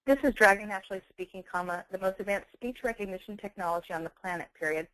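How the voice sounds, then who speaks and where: A woman presents a software demonstration in a webinar style. Her pitch sits in the middle range and she enunciates clearly. The sound is close and dry, picked up on a handheld speech mic. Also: fast talker, dry recording, low-quality recording. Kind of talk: webinar product demonstration with dictation.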